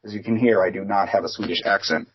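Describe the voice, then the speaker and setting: Guest musician, man from Philadelphia, lowish voice, rambling and filler-heavy, muffled lo-fi sound. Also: fairly even intonation, fast. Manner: rambling, many fillers and restarts. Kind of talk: casual interview chat. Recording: dull lo-fi remote audio.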